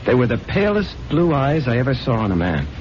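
Man playing an excitable, animated subordinate. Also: brisk, close to an everyday voice. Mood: eager, fascinated. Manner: excitable, plain-spoken. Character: eager, impressionable man reporting to his chief. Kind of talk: 1970s radio drama acting. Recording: muffled 1970s radio recording, faint rumble.

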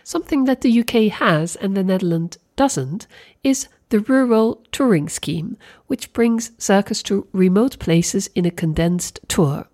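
A woman's voice: mid-range voice, animated, unhurried, darkish tone. A woman hosting an arts report, narrating from a script and putting questions to guests. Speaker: host, interviewer.

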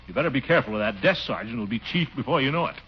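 An actor playing a pompous, scheming middle-aged town commissioner in a blustery 1940s radio comedy style. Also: male voice, medium pitch, animated, racing, natural voice. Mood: indignant, goading. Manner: blustery, swooping delivery with chuckles. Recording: muffled, noisy 1940s radio recording.

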